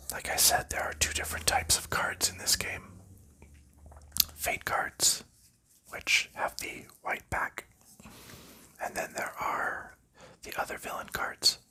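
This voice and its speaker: A man whispers through an ASMR session, his voice airy and very bright. He drifts from topic to topic in an easy, unhurried ramble, with small fillers as he works the cards. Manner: soft rambling whisper with light laughs and fillers. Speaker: ASMR channel host. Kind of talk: ASMR whispered chat while sleeving cards.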